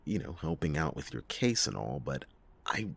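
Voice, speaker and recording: A man's voice: very deep voice, sing-song, gravelly, full character voice. A man playing a world-weary, reluctant private detective in a hesitant, dramatic noir roleplay monologue. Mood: reluctant, pleading. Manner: hesitant, drawn-out phrasing with pauses and sighing chuckles. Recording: echoey long reverb, music behind.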